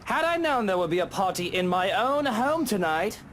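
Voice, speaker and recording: A man's voice: high voice, very animated, normal speed, noisy background, voice pushed up. A man voicing a pompous, sneering wealthy homeowner with a theatrical, mocking manner.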